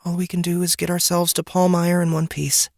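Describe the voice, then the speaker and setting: A woman's voice: fairly low pitch, brisk, gravelly, no echo, clean studio sound. An actress playing a sly, upbeat wife and mother in a fantasy audiobook, with a playful delivery. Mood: concerned. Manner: playful, animated. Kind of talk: dramatic audiobook character dialogue.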